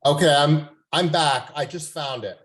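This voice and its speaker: A man from Canada whose first language is French, reading his poetry at an open mic over a video call in a slow, careful and polite manner. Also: fairly high pitch, a bit bright.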